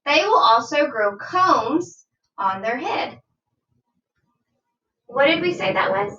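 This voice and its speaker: Female primary teacher for children's read-aloud: bright, unhurried, instructive delivery, slightly distant from the mic. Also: high voice, animated. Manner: deliberate, instructive, asks listeners questions. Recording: dull, roomy sound, distant from mic.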